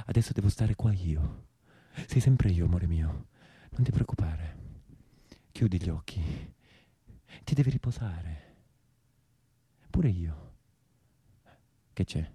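A man's voice: low-pitched, expressive, a little slow, long pauses, mellow, husky, no echo, full character voice. An actor playing a tender brigand in prison, coaxing his beloved intimately. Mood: tender, soothing. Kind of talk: theatrical monologue.

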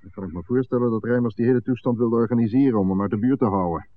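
An actor playing a cold, commanding crime boss, curt and menacing, in a 1960s radio drama. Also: male voice, mid-range voice, racing, close to an everyday voice. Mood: wary, curt. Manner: curt, bossy. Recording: muffled, phone-like old radio recording.

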